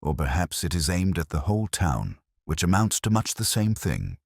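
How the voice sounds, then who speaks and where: A man reads as a detective inspector in a mystery story. The voice is extremely deep and dry in the recording, with a gentle, unhurried, shrewd manner and quiet amusement. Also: sing-song, gravelly, no room sound, full character voice. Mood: musing. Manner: gentle, dryly amused, deliberate.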